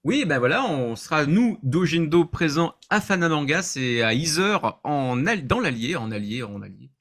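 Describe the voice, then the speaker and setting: A man's voice: on the high side, very animated, moderate pace, fairly bright tone. Casual man explaining in a loose way. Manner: loose, self-correcting, explanatory. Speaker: show regular announcing upcoming appearances. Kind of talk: casual chat.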